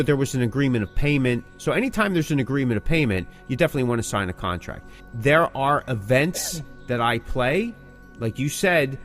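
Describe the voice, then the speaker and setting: A man co-hosting a podcast, explaining things in a casual, emphatic, conversational way. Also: mid-range voice. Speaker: podcast co-host and working band musician.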